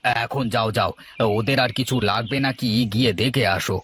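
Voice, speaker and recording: A man's voice: mid-range voice, steady tone, moderate pace, a bit bright, a little hiss or hum, natural voice. Man acting a mild, concerned village uncle. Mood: calm, concerned. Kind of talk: amateur cartoon dubbing.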